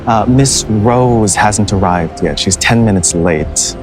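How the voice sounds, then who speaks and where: A man's voice: lowish voice, lively intonation, slow pace, music in the background. A man acting an anxious, deferential assistant, speaking hesitantly in a scripted drama. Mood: nervous.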